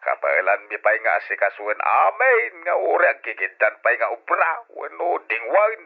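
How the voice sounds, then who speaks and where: A man's voice: mid-range voice, wildly swooping pitch, normal speed, creaky, full character voice. Hearty Ilocano father, swooping delivery. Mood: hearty, reassuring. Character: hearty, doting older Filipino father, hardworking farmer. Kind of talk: Ilocano radio drama acting. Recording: tinny phone-like old radio sound.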